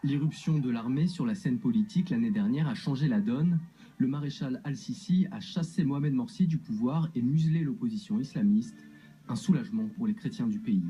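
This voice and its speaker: A man narrating a scripted TV news report in an even, measured way. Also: medium pitch, faint music behind.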